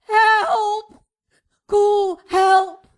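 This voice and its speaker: A woman's voice: very high voice, flat delivery, very slow, full character voice. An actress playing an anguished noble mother, sobbing and wailing in a Shakespearean radio drama. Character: noble mother in anguish over her dead child.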